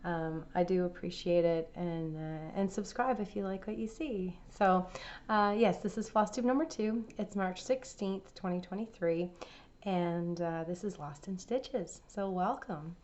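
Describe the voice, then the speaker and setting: A woman hosting a cross-stitch vlog, her voice raspy from laryngitis, chatting casually with many fillers and restarts. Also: fairly low pitch, a little slow, a little mellow, dull audio. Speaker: cross-stitch channel host.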